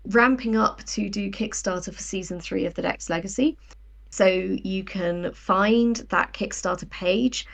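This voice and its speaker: A woman's voice: mid-range voice, creaky, fairly bright tone, dull audio. A woman, talking as an interview guest in a conversational, enthusiastic, explanatory way. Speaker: guest, audio drama writer and producer.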